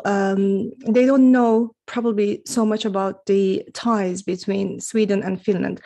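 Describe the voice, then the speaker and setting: A woman's voice: medium pitch, dark tone. A woman hosting a podcast interview, asking her guest questions in a friendly, hesitant way, with many fillers. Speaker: podcast host.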